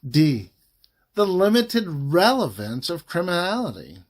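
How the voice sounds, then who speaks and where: A man reading a formal legal document aloud in a measured, emphatic way, pausing phrase by phrase. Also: high-pitched, very animated. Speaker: reader of a government report.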